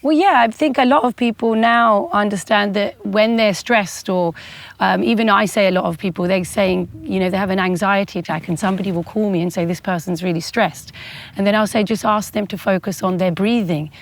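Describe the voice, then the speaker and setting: A woman's voice: medium pitch, brisk. A woman interviewing in a conversational way, with informal, roundabout phrasing as she leads into her questions. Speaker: interviewer, host.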